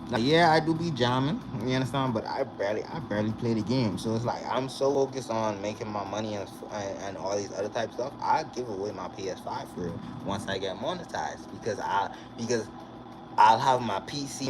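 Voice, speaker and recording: A young man from the Bahamas, vlogging in a casual, animated way and often checking in with the viewer. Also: medium pitch. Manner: animated, repeats "you understand?" to the viewer. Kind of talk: casual direct-to-camera chat. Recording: noisy recording with vehicle sounds behind.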